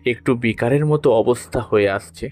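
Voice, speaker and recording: A man narrating a literary story in the first person, in an expressive, deliberate, dramatic way. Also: mid-range voice. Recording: music behind the voice.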